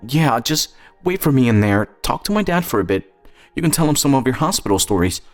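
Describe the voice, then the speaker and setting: A man voicing a friendly, gentle adult woman with a casual way of talking in an audio story. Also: mid-range voice, very animated, brisk, soft background music, pitched above the performer's own voice, lightly acted. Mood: hurried, friendly.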